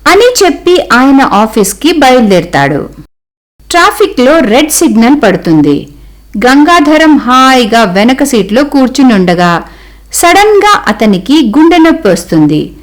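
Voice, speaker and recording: A woman's voice: high-pitched, sing-song, measured pace. A woman narrating a scripted cartoon story as its storyteller.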